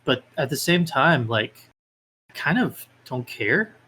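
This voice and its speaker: A man's voice: medium pitch, expressive, measured pace, pushed and bright, slightly rough. A man being interviewed as a guest, talking casually with hesitant phrasing and lots of filler words. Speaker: podcast guest, independent game developer.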